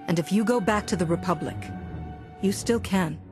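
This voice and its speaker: A woman playing a composed, persuasive and compassionate Jedi heroine in a video game, measured and earnest. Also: lowish voice, music in the background, close to an everyday voice. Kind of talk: video game cutscene acting.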